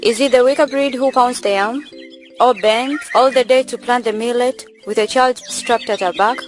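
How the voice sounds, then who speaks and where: A woman playing a proud, sharp-tongued young village beauty who argues back in an amateur student drama. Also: a little high, steady tone, normal speed, faint music behind, close to an everyday voice. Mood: defiant. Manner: argumentative, retorting.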